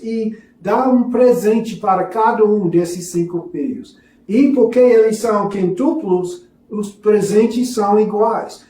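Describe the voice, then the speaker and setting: This is a man with a foreign accent giving a religious lesson in a halting, apologetic way. His voice is notably high for a man and rather mellow. He sits back from the microphone in a room with clear echo. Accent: foreign, non-native Portuguese. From foreign to Brazil, a self-described gringo. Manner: halting, hesitant in Portuguese, apologetic. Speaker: religious teacher presenting a course. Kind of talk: lesson with storytelling. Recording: echoey room, distant from mic.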